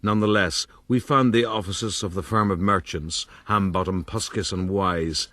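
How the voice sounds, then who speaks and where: An actor playing a proud, roguish gentleman who narrates his own story in a florid, boastful, rueful way for a period radio drama. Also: low voice, fairly even intonation, normal speed. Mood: measured recounting.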